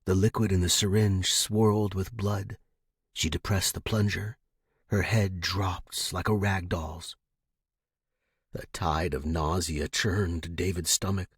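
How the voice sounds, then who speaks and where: A man reading a scripted story as a narrator, with a dramatic, expressive and suspenseful delivery. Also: sits low, takes it slowly, husky, dry recording, studio quality. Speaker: audiobook-style fiction narrator.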